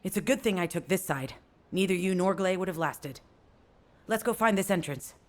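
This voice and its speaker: A woman's voice: fairly low pitch, lively intonation, moderate pace, close to an everyday voice. An actress playing a tough, hot-tempered female fighter in a video game, with a blunt, intense, emphatic delivery. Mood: resolute. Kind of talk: scripted video game dialogue.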